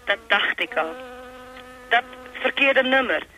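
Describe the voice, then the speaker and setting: Radio play phone role: piercingly bright high female voice, halting and matter-of-fact, faint noise behind. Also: animated, heavily acted, poor audio. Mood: hesitant, apologetic. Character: matter-of-fact woman on telephone, slightly hesitant.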